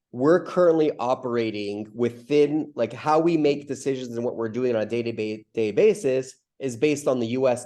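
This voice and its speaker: A man's voice: medium pitch, mellow. A man with a stuffy nose from a cold hosts a community video call, explaining ideas in a loose, rambling way with many fillers and restarts.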